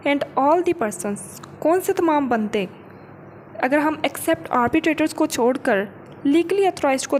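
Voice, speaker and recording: Female law teacher, Pakistani accent, high-pitched voice, didactic explainer style, repeats and rephrases, noticeable noise behind her. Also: darkish tone. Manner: didactic, repeats and rephrases points, poses questions and answers them. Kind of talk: explanatory lecture mixing English legal terms, reading clauses and explaining them.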